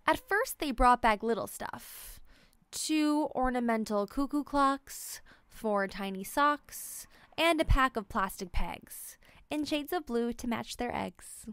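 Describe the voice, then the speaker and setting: A woman's voice: a little high, expressive, slow pace, fairly bright tone, clean tone, no echo. A woman reading a children's picture book aloud as scripted narration. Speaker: storybook reader.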